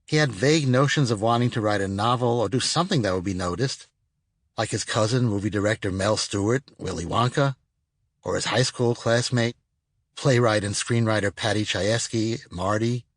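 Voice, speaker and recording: A man's voice: lowish voice, moderate pace, no room sound. A man reading his own nonfiction audiobook as a scripted narration, recorded in a studio.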